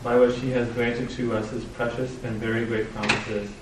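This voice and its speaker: A man in a church class reading a scripture passage aloud in a quiet, flat way. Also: mid-range voice, normal speed. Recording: distant, echoey, noisy room.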